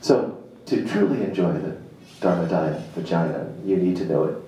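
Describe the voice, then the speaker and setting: A man giving a theatrical live spoken-word reading of a monologue, with emphatic dramatic pauses and a playful manner. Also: low-pitched, dark tone. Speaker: reader performing a written monologue. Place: indoor jazz club stage, live event. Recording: very echoey live venue, distant mic, noisy.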